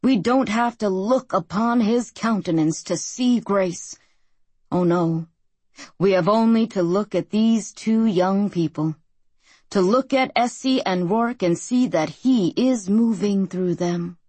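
A woman's voice: mid-range voice, slow, dark tone, breathy edge, no echo. A woman reading a fervent televised preacher. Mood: preaching. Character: male pastor preaching a televised wedding sermon. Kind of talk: audiobook character reading.

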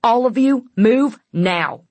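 A woman's voice: low-pitched, expressive, unhurried, no echo. A woman voicing a curt, disgusted middle-aged male border guard who barks orders in a novel. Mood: commanding. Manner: barking, curt.

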